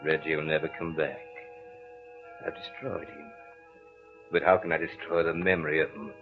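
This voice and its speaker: An actor playing a brooding, obsessive, lovelorn swamp-estate owner in an old-time radio drama, intense and by turns coaxing and commanding. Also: male voice, a bass voice, flat delivery, moderate pace. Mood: anguished, obsessive. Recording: muffled old radio recording with music.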